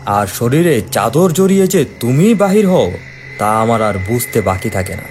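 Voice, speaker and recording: Guy doing a shrewd cartoon detective type, serious explainer, normal pitch, bit of noise. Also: big pitch swings, moderate pace, darkish tone, natural voice. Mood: accusing. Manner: earnest, explanatory. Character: shrewd, resolute male investigator exposing a culprit. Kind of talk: horror cartoon voice acting.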